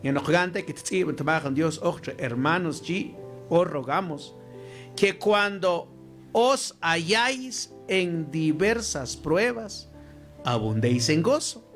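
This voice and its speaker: A male preacher, a Mam speaker, delivers an exhorting scripture sermon at a slow pace. His pitch moves in lively swings as he addresses the congregation. Music plays behind his voice. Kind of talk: sermon on scripture, mixing Mayan language and Spanish.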